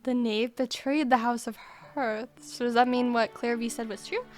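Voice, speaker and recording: A woman giving expressive, dramatic live commentary as she reacts to a game's story. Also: fairly high pitch, normal speed, faint music behind. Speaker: game streamer reacting to a story quest. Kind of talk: excitable live gameplay commentary.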